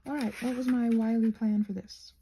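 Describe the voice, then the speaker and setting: A woman chatting casually in a rambling ASMR style, breathy and often slipping toward a whisper, with playful, self-mocking asides. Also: a little high, little pitch movement, moderate pace, mellow, a little hiss or hum. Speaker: ASMR creator painting a craft while rambling.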